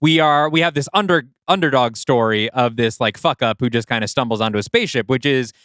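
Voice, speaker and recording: A man's voice: medium pitch, quick pace, a bit bright, no echo, studio quality. A man co-hosting a casual podcast, chatty and analytical, full of "like" and fillers. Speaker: podcast co-host.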